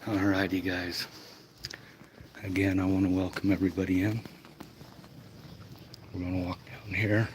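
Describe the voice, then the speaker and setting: A hoarse man with bronchitis hosting a livestream, chatting casually and speaking straight to his viewers. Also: deep voice, flat delivery, normal speed, pauses often, slight background noise, rough recording. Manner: informal, talks directly to the chat. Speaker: livestream host.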